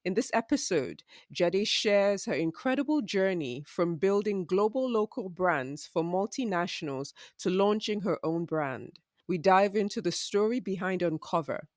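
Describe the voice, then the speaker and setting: Measured woman podcast host, dry sound. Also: medium pitch, no room sound. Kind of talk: scripted intro, then interview questions.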